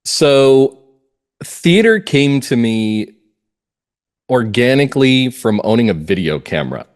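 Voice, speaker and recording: A middle-aged man from New England speaking as an interview guest, telling stories in a halting, reflective way. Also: medium pitch, very animated. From New England, United States. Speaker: interview guest, playwright and actor. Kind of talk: conversational interview.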